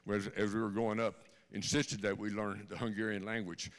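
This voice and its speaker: An older man with a Texan accent speaks in a very deep, low voice to a church congregation. He tells a personal story earnestly and repeats key lines for emphasis. He sounds like a guest speaker giving his testimony in a church sanctuary. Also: little pitch movement, fairly quick. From Texas, born in Austria.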